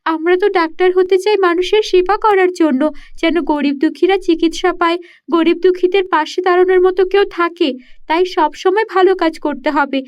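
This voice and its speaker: A woman's voice: very high-pitched, steady tone, normal speed, muffled recording. A woman voicing an earnest, kind-hearted young village girl in a children's cartoon, with emphatic delivery. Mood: earnest, chiding.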